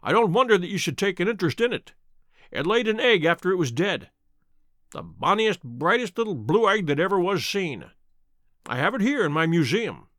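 Man as a sardonic, commanding Victorian detective, lively intonation. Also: high-pitched, dry recording, clean studio sound. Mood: wry, teasing. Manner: measured, stern, dryly mocking. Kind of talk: dramatic audiobook character reading.

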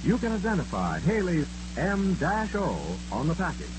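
A man's voice: high-pitched, sing-song, moderate pace. A man announcing a 1940s-style radio commercial with formal, emphatic salesmanship. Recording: noisy old radio recording with hum.

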